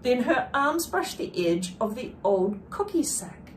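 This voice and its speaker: A woman reading a rhyming picture book aloud expressively, with deliberate dramatic emphasis. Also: on the high side, very animated, slight background noise, poor audio. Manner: deliberate, dramatic emphasis with brief explanatory asides. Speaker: children's book reader.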